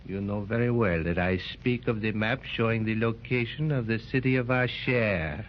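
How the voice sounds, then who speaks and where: A man's voice: on the low side, close to an everyday voice. A man playing a silky sinister mastermind. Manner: measured, silky, menacing. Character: smooth sinister older villain, bribing mastermind. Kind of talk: 1930s radio acting. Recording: dull, faintly noisy 1930s transcription.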